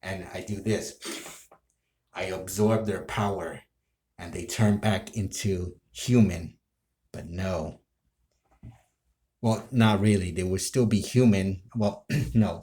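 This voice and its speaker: A man telling an improvised story casually to camera, in a halting, rambling way that often breaks off. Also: sits low, mellow. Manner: halting, rambling, self-interrupting. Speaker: amateur role-play video creator.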